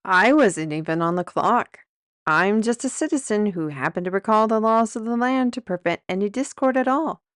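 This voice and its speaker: A woman's voice: mid-range voice, expressive, normal speed, no room sound, clean studio sound, close to an everyday voice. An actress playing a sarcastic, defiant young woman who stands up to a commander in an audio drama. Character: defiant, sardonic young woman, lamp wielder.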